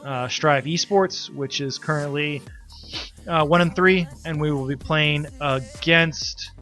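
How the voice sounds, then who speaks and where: Congested man with a bright voice. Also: medium pitch. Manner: loose, halting delivery with frequent ums. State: congested, coming down with a cold. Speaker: league organizer and team player. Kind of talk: casual spoken recap.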